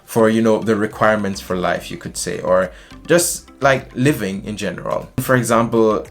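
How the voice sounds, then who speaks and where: Low-voiced young man from Jamaica. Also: normal speed, gravelly, soft background music. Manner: chatty, animated with hand gestures. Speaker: vlogger. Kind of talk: casual vlog to camera. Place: small indoor room, decorated shelves.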